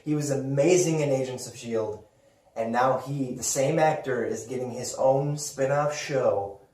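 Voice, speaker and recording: A man hosting a casual fan discussion show, talking in an excitable, informal and emphatic way. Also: medium pitch, a little slow. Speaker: host of a pop culture video show. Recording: roomy echo, distant mic.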